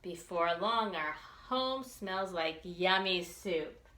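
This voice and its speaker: A woman reading a picture book aloud for young children, expressively and with deliberate enunciation. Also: on the low side, lively intonation, bright, forceful tone, echoey room. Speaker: children's librarian storytime reader.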